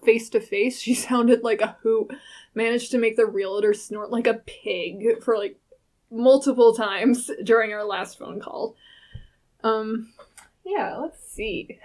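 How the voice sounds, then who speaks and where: A woman acting as a wry, scatterbrained young woman with a fairly high voice. She rambles through a spoken diary for a fiction podcast, chatty and casual, often breaking into her own sentences with asides. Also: natural voice. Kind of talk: audio drama monologue as a casual spoken journal.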